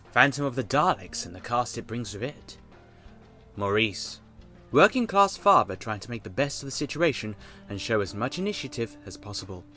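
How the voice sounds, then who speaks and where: A man's voice: mid-range voice, a bit bright. A man narrating a scripted casting call for a fan audio drama, articulate and formally worded with chatty asides.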